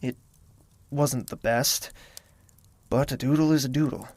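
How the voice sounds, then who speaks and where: A man voicing a brooding, lonely young royal, narrating his thoughts in first person in an audio drama, quiet and sometimes whispering. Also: medium pitch, fairly even intonation, a little slow, dry recording, close to an everyday voice. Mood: wistful.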